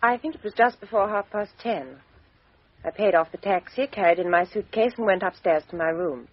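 An actress playing a calm, matter-of-fact young woman questioned by police in a 1950s radio drama. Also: mid-range voice, a little fast, breathy edge, no echo, natural voice. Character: composed young Englishwoman, victim's flatmate. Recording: dry, dull lo-fi old radio recording.

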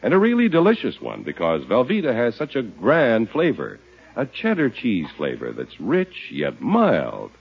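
A male 1950s radio announcer reading an advertisement with hugely animated intonation. His mid-pitched voice is a little husky, and the vintage recording is muffled. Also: moderate pace.

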